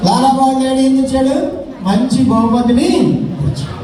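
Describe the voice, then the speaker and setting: A man's voice: extremely high pitch, level tone, normal speed, music in the background. A man preaching to children and leading prayer, exhorting them in short phrases and rhetorical questions. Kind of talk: children's sermon and led prayer. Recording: echoey hall, distant mic, noisy crowd.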